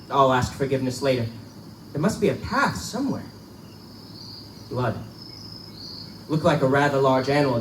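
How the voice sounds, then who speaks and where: A man's voice: mid-range voice, lightly acted. A man playing a confident, scoffing big game hunter in a theatrical live reading of a pulp adventure drama. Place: live event in a bookstore. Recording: echoey live room, distant mic, loud hum.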